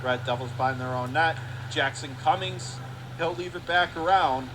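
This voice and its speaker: A man calling live radio play-by-play of a hockey game, talking rapidly and rising to excited shouts on close plays. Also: fairly high pitch, background noise.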